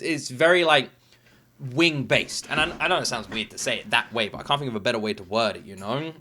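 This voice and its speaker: A man's voice: medium pitch, sharp tone. A man hosting a gaming reaction video, thinking aloud in an informal, enthusiastic way. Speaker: host of a gaming reaction channel. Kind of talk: casual off-the-cuff reaction commentary. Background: game trailer music.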